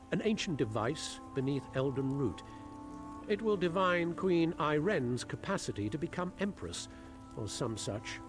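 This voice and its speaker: An actor playing a stern, proud high elf prince in a fantasy video game, formal and haughty with bitter disdain. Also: male voice. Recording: game music behind the voice. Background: game music.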